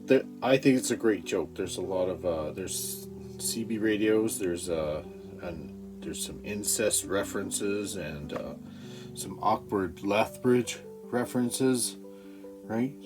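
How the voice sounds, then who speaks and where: A man talks in a low, slow voice over clear guitar music. His casual vlog chat rambles and halts, with swearing mixed in. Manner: halting, profane, frequent throat-clearing. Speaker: amateur stand-up comedian.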